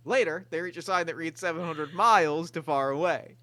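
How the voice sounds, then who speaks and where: A man's voice: on the high side, fairly quick, pushed and bright, no echo. A man reading stage directions aloud at a table read in a plain, matter-of-fact way.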